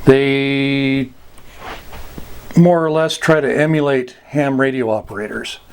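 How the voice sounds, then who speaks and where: An American man giving an informal instructional talk, plain-spoken and pausing between short phrases. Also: mid-range voice, fairly even intonation, darkish tone, slight background noise. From United States. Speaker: amateur radio instructor. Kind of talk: informal slide lesson explaining radio slang.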